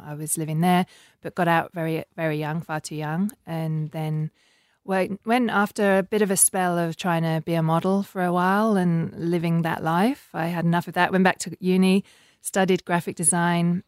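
Guest: mature female, gently English-accented, low register, chatty storytelling in a dry studio sound. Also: steady tone, normal speed, no echo. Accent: English, softened by years abroad. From North London, England. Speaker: interview guest, business founder. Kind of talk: conversational storytelling.

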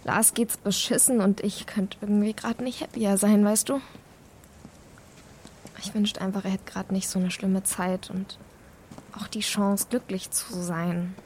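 A woman's voice: mid-range voice, little pitch movement, unhurried, darkish tone, close to an everyday voice. Young woman played by an actress, audio drama: caring, emotional, intimate casual delivery, light ambient noise behind. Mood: vulnerable, guilty. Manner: confiding, colloquial.

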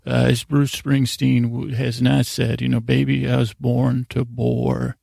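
A male podcast host tells a drowsy, wandering bedtime ramble in a heavily gravelly, mellow voice. His diction is loose and a little slurred, and he circles back on himself in halting tangents. The sound is close and dry, with faint music underneath. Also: medium pitch, monotone, no echo. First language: English. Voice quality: imprecise, slightly slurred diction. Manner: meandering, halting, repeats and trails off into tangents. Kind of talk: rambling bedtime storytelling meant to lull listeners to sleep.

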